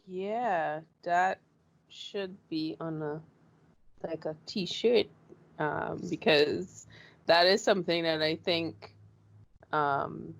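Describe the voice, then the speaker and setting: A woman from Trinidad with a Trinidadian accent hosting an interview, chatty and animated as she tells her own stories. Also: low-pitched, very slow, gravelly, long pauses, low-quality recording. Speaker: show host. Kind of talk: casual interview chat.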